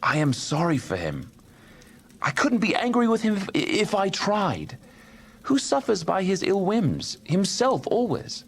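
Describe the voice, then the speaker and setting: A man's voice: sits high, wildly swooping pitch, unhurried, breathy edge, heavily acted. A man playing a jovial, good-hearted young Victorian nephew with a hearty, chuckling manner in an audiobook drama. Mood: cheerful, forgiving.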